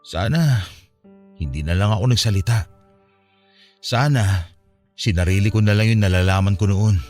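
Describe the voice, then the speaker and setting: A man's voice: fairly low pitch, moderate pace, darkish tone, soft background music, lightly acted. An actor playing a grief-stricken, guilt-ridden young Filipino man in a radio drama, anguished and despairing. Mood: bitter regret. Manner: anguished, despairing, self-blaming.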